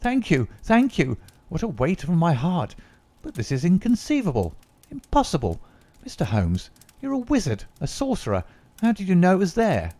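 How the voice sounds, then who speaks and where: Overwrought young secretary, pitched up and loud, played by a male reader, dry close take. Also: sing-song, moderate pace, dry recording. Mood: frantic. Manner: agitated, exclamatory.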